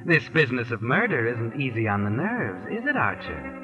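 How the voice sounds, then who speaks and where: An actor playing a knowing, taunting narrator in a 1940s radio mystery, speaking with deliberate suspense. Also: male voice, medium pitch, wildly swooping pitch, dull audio, over music. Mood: ominous. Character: ominous, knowing male narrator addressing a murderer. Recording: vintage 1940s radio recording.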